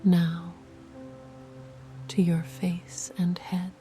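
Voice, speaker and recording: Slow, whispering woman guiding meditation. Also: fairly low pitch, monotone. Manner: soft, slow and soothing. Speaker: meditation guide. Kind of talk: guided meditation. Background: music.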